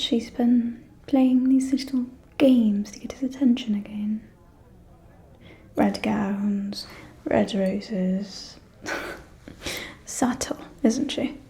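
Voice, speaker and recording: Casting: actress for a catty aristocratic female vampire, ASMR roleplay, slow whispered gossip, higher-pitched, mellow and teasing. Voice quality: soft, breathy whisper. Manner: conspiratorial, teasing, drawn-out delivery.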